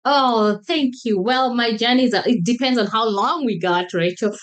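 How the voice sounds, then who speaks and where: A woman's voice: on the high side, measured pace. A woman from Kenya with a Kenyan accent, speaking as an interview guest in casual chat, enthusiastic and often restarting her phrases.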